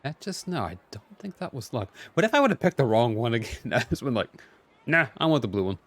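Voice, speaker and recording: A man's voice: mid-range voice, sing-song, fast, a bit bright, no room sound. A man giving casual live commentary as he streams a video game playthrough. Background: game music and sounds.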